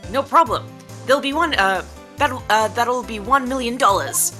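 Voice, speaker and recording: A woman's voice: on the high side, moderate pace, pushed and bright, faint music behind. A woman voicing a dim, boastful young man with slangy, scatterbrained delivery in a live game fan dub. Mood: showy.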